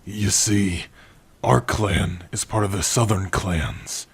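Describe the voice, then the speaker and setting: Man voicing a very husky, gentle orc. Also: full character voice. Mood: grave, explaining. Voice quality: husky, breathy, low. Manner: soft, halting, hushed, intimate. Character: gentle, protective male orc, tender and worried partner. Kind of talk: ASMR roleplay acting.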